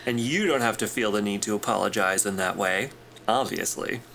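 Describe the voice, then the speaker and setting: A man's voice: on the low side, moderate pace, creaky, a bit bright, slight background noise. A man acting an easygoing corporate interviewer in a sci-fi audio drama, informal, tactful and reassuring. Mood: agreeable. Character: smooth, easygoing corporate interviewer at aerospace company.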